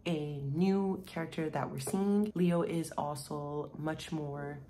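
Casual female book vlogger, twenties, deep-set low pitch, clear background noise. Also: fairly even intonation, slow, mellow. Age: 20s. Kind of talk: casual vlog talk. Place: small bright room by a window, indoors.

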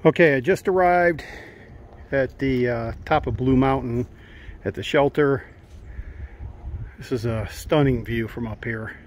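A man's voice: mid-range voice, measured pace, pauses often. A man vlogging casually while hiking a forest trail, chatting into his phone and sometimes out of breath. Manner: chatty, narrating while walking. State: out of breath at times. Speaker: hiker vlogger. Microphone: handheld phone. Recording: faint wind and stream noise.

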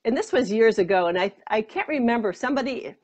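A woman's voice: a little high, expressive, quick pace, darkish tone. An older American woman, a rug maker and author, telling stories in a live video interview over earphones. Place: home studio in front of a colorful rug. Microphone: earphone mic on a video call. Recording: dull lo-fi video call audio.

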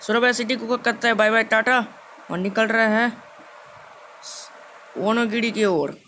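Very high-pitched young man, bright voice. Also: steady tone. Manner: halting, repetitive phrasing. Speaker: gaming video host. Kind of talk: casual gameplay commentary. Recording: clearly noisy game capture.

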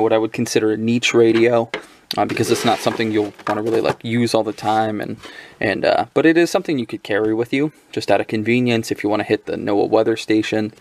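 A man giving a casual, off-the-cuff product overview in a halting, conversational manner. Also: lowish voice, vocal fry, slight background noise. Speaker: product reviewer. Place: indoors at a tabletop.

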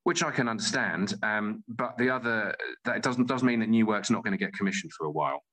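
A man speaks as a guest in a conversational interview. His voice is very low yet bright and forward. He talks thoughtfully, circling back over phrases as he works out his answer. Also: brisk, poor audio. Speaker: interview guest, playwright and theatre director.

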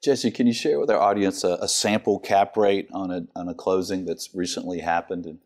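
An older man with a very low voice hosts an interview on a TV studio set. He asks short questions and adds quick agreement while his guest talks. A lapel mic picks him up. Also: steady tone, darkish tone. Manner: brief affirmations and quick questions. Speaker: host.

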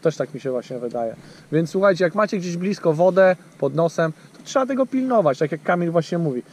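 A man in his thirties talks in a fairly high voice, recorded on an action camera in a small boat out on a lake. He chats easily with a friend and turns to the viewers, with plenty of fillers. Faint outdoor noise runs underneath. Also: lively intonation, fairly quick, a little mellow. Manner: chatty, addresses viewers directly, many fillers. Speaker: fishing channel host. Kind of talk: casual outdoor fishing vlog chat.

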